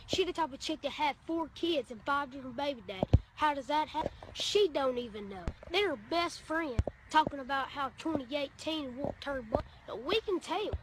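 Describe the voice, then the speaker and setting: High-pitched sassy woman in a noisy clip. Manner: sassy, mocking. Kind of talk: comedic social media rant. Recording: noisy social media clip playback.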